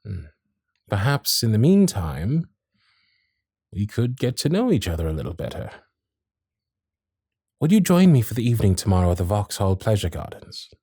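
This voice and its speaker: A man playing a gallant, protective Victorian gentleman suitor in a romantic audio roleplay, speaking in formal, genteel, old-fashioned phrases. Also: fairly low pitch, very animated, normal speed, long pauses, slightly rough, dry recording, studio quality, heavily acted. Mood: courtly, flirtatious. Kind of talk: romantic period-drama ASMR roleplay.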